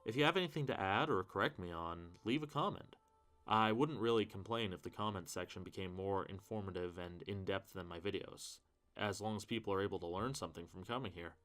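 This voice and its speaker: A man's voice: low-pitched, fairly quick, fairly bright tone. A man giving casual literary commentary as a video host, with a wry, self-deprecating manner and mildly sweary asides. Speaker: video host reviewing horror fiction.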